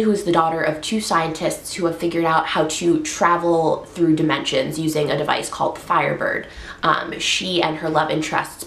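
A young woman with a low, slightly gravelly voice, congested from a cold, gushes about books on her vlog. She chats casually with plenty of fillers. The room around her sounds echoey. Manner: chatty, upbeat, frequent fillers. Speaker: book vlogger. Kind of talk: casual book review vlog. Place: bedroom, indoors.

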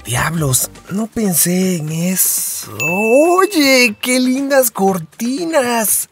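A man playing an excitable, scheming, upbeat teenage hero student in a comic fandub, eager and wheedling. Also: high voice, drawn-out words, soft background music, full character voice. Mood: persuading.

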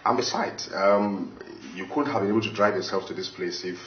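A man acting as a reassuring Nigerian doctor in a TV drama. His voice is very low and unhurried in temper. The sound is dull and echoes around the room. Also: close to an everyday voice. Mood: calm, reassuring. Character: calm, reassuring male doctor. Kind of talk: acted TV drama dialogue. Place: small indoor consulting room. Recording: muffled, roomy, slightly noisy, distant mic.

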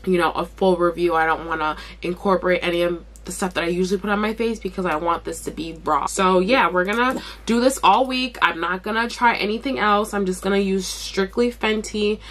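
A young woman with a raspy voice chatting casually through a skincare vlog in her bedroom, talking while she applies products. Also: mid-range voice, brisk, few pauses, a bit bright. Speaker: beauty vlogger. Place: bedroom at home.

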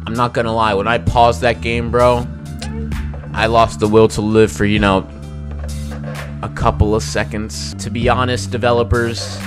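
A young man playing a horror game with a headset on in a dark room, talking in slangy, excitable, jokey bursts and yelling when scared. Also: mid-range voice, normal speed. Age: young adult. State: losing his voice. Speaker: gaming YouTuber. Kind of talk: reactive horror game commentary. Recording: game music underneath.

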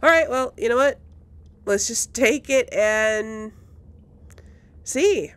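A woman's voice: fairly high pitch, lively intonation, takes it slowly, clear, resonant tone. A woman doing casual let's play commentary as a gaming host, musing and thinking aloud.

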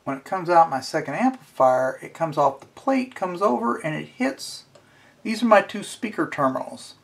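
A man's voice: a little high, sing-song, normal speed, creaky. A middle-aged man giving a casual hands-on tutorial, informally thinking aloud as he talks himself through each step. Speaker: instructor. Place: indoors at a wooden workbench.

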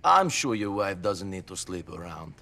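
An actor playing a weary, sardonic immigrant war veteran turned criminal, with an Eastern European accent and a terse, deadpan manner, in a video game. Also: male voice, sits low, moderate pace, full character voice. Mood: deadpan, needling. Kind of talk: video game cutscene acting.

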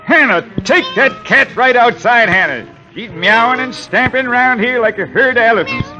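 A man's voice: extremely high pitch, animated, moderate pace, over music. A man playing a stubborn, grumpy Massachusetts farmer in a 1940s radio drama, talking in a folksy, terse grumble. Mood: irritated. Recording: dull vintage 1940s radio recording.

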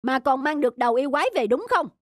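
A woman voicing a brave, loyal young village hero in an animated folktale, earnest and declamatory. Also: high-pitched, fast. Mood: indignant. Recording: music behind the dialogue.

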